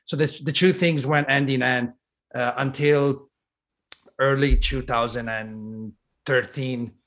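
Brief: Italian-accented male guest, 30s, mid-pitched, casual and rambling. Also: fairly even intonation, slow, fairly bright tone. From Italy. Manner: rambling, with frequent "like" and restarts. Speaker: guest, strength coach. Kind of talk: casual conversational storytelling.

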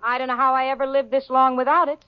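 Dry-witted young secretary, played by an actress. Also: female voice, high-pitched, little pitch movement, quick pace, very noisy, natural voice. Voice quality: dry, sardonic wit. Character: level-headed, wry young secretary. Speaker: co-lead and narrator in radio sitcom. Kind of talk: 1940s radio sitcom acting. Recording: dull old radio broadcast, audience laughter.

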